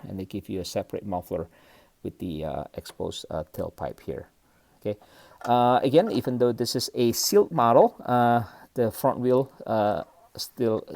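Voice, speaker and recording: A man's voice: fairly low pitch, vocal fry, dark tone, no echo. A man from Indonesia hosting a casual, unscripted model car review, speaking hesitantly in short broken phrases and often checking in with a brief questioning tag.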